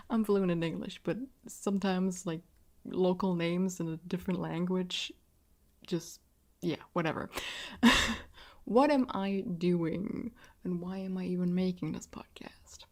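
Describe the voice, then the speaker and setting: A woman's voice: fairly low pitch, slow, long pauses, darkish tone. Woman, early 20s, German accent, English not her first language. Chatty, self-deprecating solo podcast host. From southwest Germany. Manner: chatty and self-deprecating, laughs often between sentences. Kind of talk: casual solo podcast talk.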